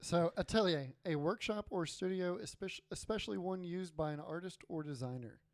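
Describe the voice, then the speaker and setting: A man's voice: high-pitched, normal speed. A man co-hosting a casual podcast who talks offhandedly, correcting pronunciations and swearing now and then. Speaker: podcast co-host.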